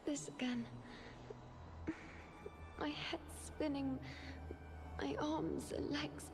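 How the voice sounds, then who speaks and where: An actress playing a weak, bewildered young priestess in a video game, with a breathy, whispery, dizzy delivery. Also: female voice, high voice, fairly even intonation, drawn-out words, over music, exaggerated voice. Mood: dazed, weak. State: weakened, dizzy. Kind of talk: scripted video game voice acting.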